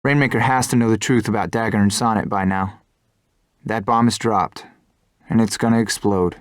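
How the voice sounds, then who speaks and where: A man's voice: lowish voice, fairly even intonation, moderate pace, no room sound, clean studio sound, natural voice. An actor playing a determined, stoic young fighter pilot who leads his squad in a video game. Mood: resolute. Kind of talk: dramatic video game cutscene acting.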